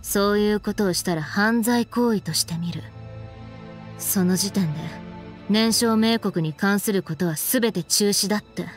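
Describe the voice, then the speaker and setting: A cool young woman from an anime game , performed by a voice actress. The pitch is middling and the delivery casual, blunt and sharp-witted, never fussy. Faint music sits behind the voice. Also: close to an everyday voice. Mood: probing. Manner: casual, blunt, unhurried, pointed questioning. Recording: background music under the voice.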